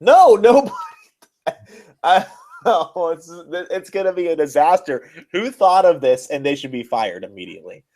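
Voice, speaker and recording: A man's voice: on the high side, sing-song, moderate pace, darkish tone. A man co-hosting a casual wrestling podcast, talking in a blunt, exasperated way with plenty of swearing. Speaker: podcast co-host.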